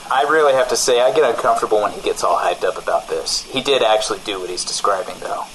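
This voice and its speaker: A man's voice: on the low side, fast talker, a bit bright, slight background noise, rough recording. A man co-hosting a comedy radio show in character, bantering in an evasive, self-righteous way. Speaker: radio co-host.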